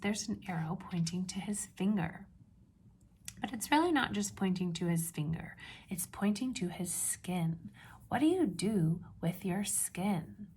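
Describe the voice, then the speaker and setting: Slow-paced woman reading aloud to young children. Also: medium pitch, breathy edge. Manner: instructive, asks simple questions and pauses before confirming answers. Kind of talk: children's read-aloud with questions to the listener.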